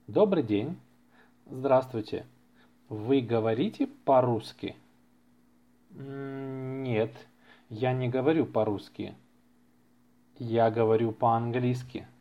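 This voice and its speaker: Male language-teacher podcast host, mid-pitched, very slow and deliberate, careful repetition, slightly muffled lo-fi sound. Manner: deliberate, carefully enunciated, repeats phrases for learners. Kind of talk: slow language-lesson podcast reading practice dialogues.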